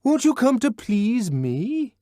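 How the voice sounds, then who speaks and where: A man voicing an anxious, whining man who shouts pleas through a locked door, near tears. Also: very high voice, big pitch swings, takes it slowly, dry recording, studio quality, voice pushed up, full character voice. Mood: pleading wail.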